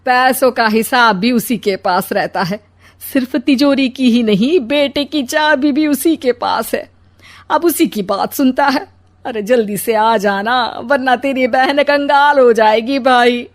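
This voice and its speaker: A woman's voice: a little high, measured pace, lightly acted. A woman voicing an older, scheming mother-in-law in a cartoon, speaking in a dramatic, complaining way.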